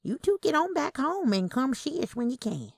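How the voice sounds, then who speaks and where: A woman acts out a tiny old Southern aunt in an audio story, proud and genteel. The voice lifts high with swooping intonation, and she talks in a gentle, folksy, insistent way. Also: very animated, moderate pace, gravelly, slightly rough, no echo, studio quality, exaggerated voice. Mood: firm, reassuring.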